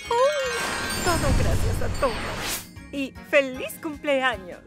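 Casting: fretful lady train carriage, extremely high, swooping, Latin American Spanish, children's cartoon with music underneath. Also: huge pitch swings, slow pace, full character voice. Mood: relieved, grateful. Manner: plaintive, exclamatory. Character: anxious, fretful, affectionate female railway coach. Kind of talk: children's cartoon dub acting.

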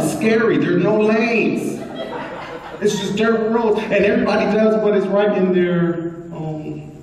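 A middle-aged man preaching a lively sermon, repeating phrases and prompting his congregation. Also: high-pitched, slight background noise. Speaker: pastor. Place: large bright church hall indoors. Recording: echoey hall recorded from far off.

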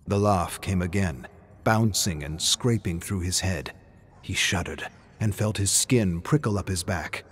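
A man's voice: lowish voice, darkish tone, slight background noise. A man reading a scripted story aloud as a narrator, with theatrical, suspenseful delivery. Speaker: narrator reading game lore.

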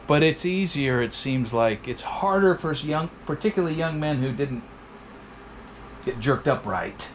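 A man in his sixties from Oregon, hosting and narrating in a measured, reflective way. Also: a little high, animated, a little hiss or hum. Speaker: host. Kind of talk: casual chat and voice-over narration. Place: indoor wooden workshop.

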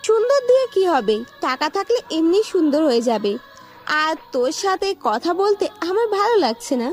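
A woman's voice: very high voice, very animated, moderate pace, faint music behind. A woman voicing a money-hungry young girlfriend in an amateur audio love-story drama. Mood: cold, scornful. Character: scornful, money-hungry young girlfriend, later begging forgiveness.

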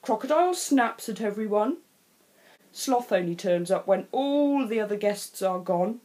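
A woman voicing a reluctant, fussy father lion in a storybook, measured, weary and disapproving. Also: mid-range voice, lively intonation, mellow, natural voice.

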